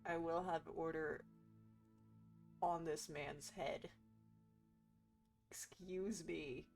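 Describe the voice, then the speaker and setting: A woman's voice: deep voice, steady tone, drawn-out words, a little mellow, soft background music. A woman doing playful, sarcastic commentary on a game playthrough, sometimes reading text aloud. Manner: playful, sarcastic, foul-mouthed. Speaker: game streamer.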